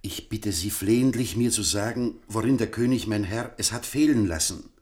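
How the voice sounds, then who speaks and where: An actor playing a formal, anxious Spanish ambassador in a German radio play, courtly, measured and insistent. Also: male voice, on the low side, darkish tone, lightly acted. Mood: pleading.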